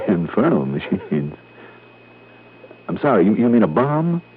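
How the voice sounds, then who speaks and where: An actor playing a businesslike American insurance investigator and narrator in an old-time radio drama, wry and politely probing. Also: male voice, fairly low pitch, big pitch swings, slightly rough, full character voice. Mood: incredulous, brisk. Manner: brisk, clipped, probing. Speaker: lead and narrator. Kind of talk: 1950s-60s radio detective drama acting. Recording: tinny vintage radio recording, music behind.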